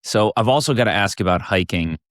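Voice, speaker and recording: A young man hosting a podcast, reading a polished intro and then asking relaxed, friendly questions. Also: on the low side, a little fast, dry recording, clean studio sound. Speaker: podcast host. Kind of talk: podcast interview.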